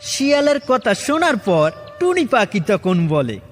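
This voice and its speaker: Very high-pitched, animated male storyteller. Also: a little slow. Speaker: narrator and channel host. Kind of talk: children's cartoon story narration. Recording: heavy reverb with music.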